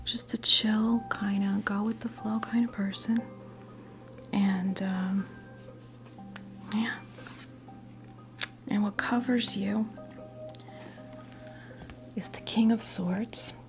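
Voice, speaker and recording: An American woman in her fifties whispers in a soothing, halting, conversational way as she role-plays a tarot reading for ASMR. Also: mid-range voice, level tone. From New Jersey, United States. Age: 50s. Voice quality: breathy whisper. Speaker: ASMR creator role-playing a witch tarot reader. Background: soft background music.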